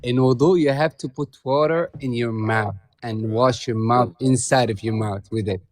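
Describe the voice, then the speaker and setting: A man's voice: medium pitch, slight background noise. A man whose first language is Arabic, chatting casually on a podcast and telling a story in loose English. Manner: tells stories in loose, halting English. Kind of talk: casual chat.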